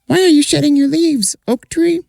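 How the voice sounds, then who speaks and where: A man voicing a tiny bird in a folk tale, in a squeaky falsetto. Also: moderate pace, vocal fry, a little mellow, dry recording, clean studio sound. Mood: chirpy.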